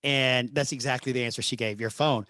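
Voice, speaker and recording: A man hosting a podcast interview, friendly and encouraging, speaking in an unhurried way. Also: mid-range voice, fairly even intonation, bright, forceful tone, no echo. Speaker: podcast host.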